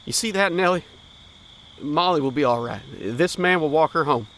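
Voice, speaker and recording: A man's voice: fairly high pitch, animated, normal speed, a bit bright. An actor playing an easygoing, slangy teenage boy with folksy grammar in a period audio drama. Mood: anxious, placating. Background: crickets and night insects.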